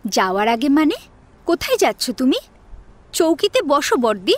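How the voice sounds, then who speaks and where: A woman playing an earnest, gentle, respectful young college girl in an audio drama. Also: high-pitched, sing-song, normal speed, no room sound. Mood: warm, respectful. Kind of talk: Bengali audio drama acting. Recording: music under the voice. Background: sitar music.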